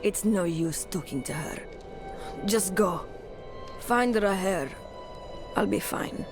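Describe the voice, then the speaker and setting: Slow, pained, battered woman. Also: medium pitch, animated. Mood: defiant, resigned. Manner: halting, clipped. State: in pain, weary. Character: battered, injured female messenger, weary but defiant. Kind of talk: dramatic fantasy game acting. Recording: music behind the voice.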